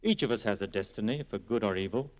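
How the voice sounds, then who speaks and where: An actor playing a grave, idealistic resistance leader, speaking formally and eloquently in a 1940s radio drama. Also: male voice, on the low side, quick pace, lightly acted. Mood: grave, earnest. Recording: dull vintage radio recording.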